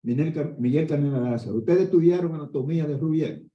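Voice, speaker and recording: An older man, an orthopedic doctor giving a conference lecture in a lively storytelling way. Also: on the high side, quick pace. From Dominican Republic. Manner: conversational, animated storytelling with asides. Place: conference hall. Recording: echoey hall, dull lo-fi sound.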